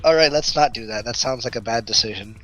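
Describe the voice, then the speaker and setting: A man's voice: medium pitch, quick pace, over music, low-quality recording. A man chatting casually as a player in a tabletop game. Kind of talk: casual chat during a game.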